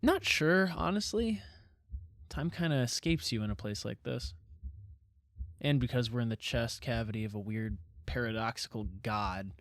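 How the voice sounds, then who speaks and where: A man plays an easygoing young best friend in an audio drama. His voice is medium in pitch and sounds close and dry. He talks casually, with a wry, understated loyalty. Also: expressive, normal speed, no room sound, close to an everyday voice. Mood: musing. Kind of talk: naturalistic audio drama acting.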